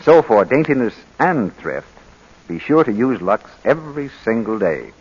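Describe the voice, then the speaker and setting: A man's voice: medium pitch, very animated, normal speed, husky. A man working as a 1940s radio announcer, presenting the programme and reading advertisements. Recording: muffled old radio broadcast recording.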